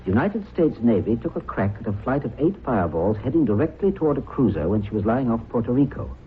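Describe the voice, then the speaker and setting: A man's voice: mid-range voice, sing-song, fast, natural voice. Man acting keen radio reporter, tinny old recording. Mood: brisk, informative. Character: keen, curious male broadcast reporter and husband. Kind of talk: vintage radio drama acting. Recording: noisy, narrow-band old radio recording.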